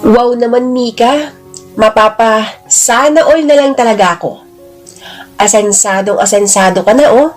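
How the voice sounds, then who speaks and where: Audio drama: teasing, gushing male buddy voiced by a woman, high-pitched, flirty banter over music. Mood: chummy, wistful. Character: Victor, teasing, chummy, flirtatious man, a security guard.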